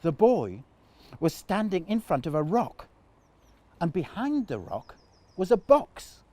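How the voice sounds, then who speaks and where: A man reading a children's story aloud as a storyteller, with dramatic, deliberate phrasing. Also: high voice, sing-song, dark tone, no room sound. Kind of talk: expressive children's story narration.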